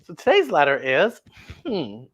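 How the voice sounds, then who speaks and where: A woman hosting a children's story time, excitable and playful, with exaggerated expressive storytelling. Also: low-pitched, a little slow, breathy edge.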